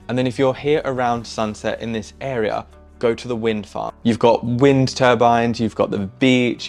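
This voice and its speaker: A young man giving enthusiastic, chatty travel tips to camera while seated in a small living room. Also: lowish voice. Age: young adult. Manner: easygoing, enthusiastic, chatty with fillers. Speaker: travel vlogger. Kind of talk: casual travel recommendations to camera.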